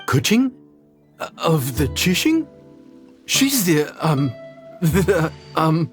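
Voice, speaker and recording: A man's voice: fairly high pitch, big pitch swings, slow pace, soft background music. A man playing a humble, friendly inn cook in a video game, hesitant, earnest and chatty. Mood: flustered. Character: humble, friendly, flustered male inn cook, ordinary young commoner. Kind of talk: video game cutscene acting.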